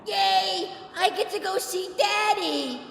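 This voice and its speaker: A man's voice: very high voice, slow pace, creaky, heavy background noise, voice pushed up, exaggerated voice. A man voicing a trusting four-year-old boy in an audio drama. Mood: childlike. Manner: eager, trusting, childlike. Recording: echoey hall heard from far off.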